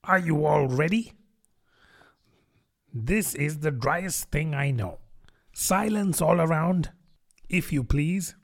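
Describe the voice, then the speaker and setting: Man playing a pompous, high-pitched lecturing mouse. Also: takes it slowly, creaky, pauses often, full character voice. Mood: self-important. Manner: pompous, declamatory. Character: pompous, self-important, touchy male mouse lecturing drily. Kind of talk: children's storybook narration.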